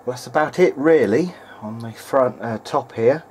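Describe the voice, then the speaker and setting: A man's voice: medium pitch, moderate pace, darkish tone, a little hiss or hum. A man thinking aloud in a hesitant way as he gives casual hands-on repair commentary at an indoor workbench. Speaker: hobbyist repairer presenting a video diary.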